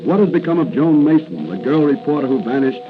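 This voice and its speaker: A man's voice: a little high, animated, brisk. Dramatic male announcer on tinny old radio. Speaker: announcer, narrator. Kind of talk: 1940s radio serial narration, dramatic. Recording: tinny 1940s radio broadcast. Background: music.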